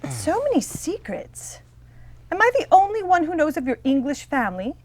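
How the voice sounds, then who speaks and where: An actress playing a sweet, trusting young Victorian fiancee in a stage play, with an earnest, deferential manner. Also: female voice, high voice, sing-song, moderate pace, no echo. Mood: admiring. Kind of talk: stage play acting, period dialogue. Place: theater stage before an audience.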